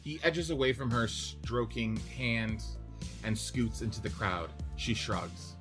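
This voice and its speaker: A man's voice: medium pitch, slow, music in the background. A man reading stage directions for a radio-play-style script. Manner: steady, clipped direction reading.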